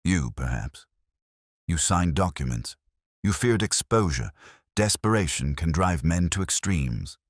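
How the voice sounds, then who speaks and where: A man voicing a cold, commanding detective in an audiobook, speaking in a steady, formal, deliberate way. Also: low-pitched, no room sound, clean studio sound, exaggerated voice. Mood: cold, stern. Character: cold, commanding male genius detective, accusing and unshakable.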